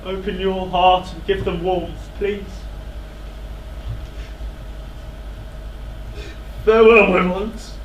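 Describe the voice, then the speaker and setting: A man on stage playing an anguished, self-loathing fallen king in a classical tragedy, declaiming in halting, pleading phrases. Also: high voice, fairly even intonation. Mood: grieving, pleading. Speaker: stage actor. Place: indoor theatre stage. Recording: echoey theatre, distant microphone, faint noise.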